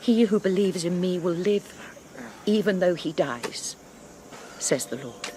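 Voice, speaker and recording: A woman's voice: on the low side, animated, measured pace, dark tone. An actress playing a prim, proper missionary woman, speaking formally and politely, sometimes flustered. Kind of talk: radio drama acting. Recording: noisy recording with audible hiss.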